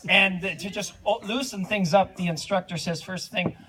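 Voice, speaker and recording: A man's voice: sits high, fairly even intonation, moderate pace, sharp tone. A man playing Lars, a folksy Norwegian-American from North Dakota, telling marriage jokes with an Upper Midwest accent. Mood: deadpan. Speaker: wedding entertainer. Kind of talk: comic duo routine at a wedding.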